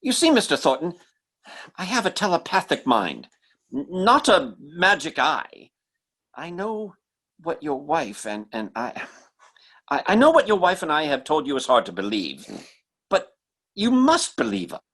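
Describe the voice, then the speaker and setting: An actor playing an earnest, insistent telepathic stage mentalist in a radio mystery, speaking in a dramatic, halting, emphatic way. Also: male voice, on the high side, wildly swooping pitch, fairly bright tone, husky, exaggerated voice. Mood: earnest, pleading. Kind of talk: old-time radio mystery drama acting.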